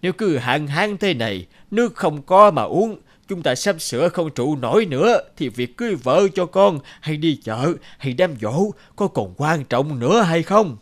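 Excitable villager, high and animated. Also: male voice, normal speed, few pauses. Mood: earnest urging. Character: drought-stricken villagers, male, pleading and quarrelsome. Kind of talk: animated fairy tale dubbing. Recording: loud music under the voice. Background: loud music.

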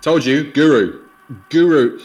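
A man's voice: a little high, slow pace, darkish tone. A man co-hosting a podcast with casual commentary, playfully repeating words for emphasis. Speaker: podcast co-host.

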